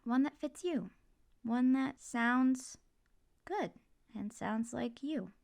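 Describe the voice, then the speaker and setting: A woman's voice: on the high side, slow pace, vocal fry. A woman playing a supportive, playful mother in an ASMR comfort roleplay, talking casually and reassuringly to her kid. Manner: casual, reassuring, jokey, gently expressive. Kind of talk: ASMR comfort roleplay, one side of a conversation.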